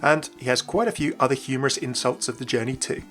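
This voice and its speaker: A man's voice: mid-range voice, fast talker, fairly bright tone, soft background music. A young man hosting a gaming video with scripted narration. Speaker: YouTube gaming host.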